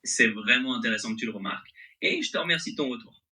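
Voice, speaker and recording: A man's voice as a conversational AI assistant, very bright and crisp-edged, with lively, wide-moving pitch. The French accent is mixed, with an American-sounding intonation. The manner is agreeable and accommodating. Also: fast talker.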